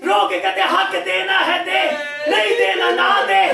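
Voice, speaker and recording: A man giving an impassioned mourning sermon, declaiming and shouting his lament to a gathering. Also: very high-pitched, sing-song, a little slow, creaky, music in the background. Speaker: mourning reciter. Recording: echoey hall from far off, faint crowd.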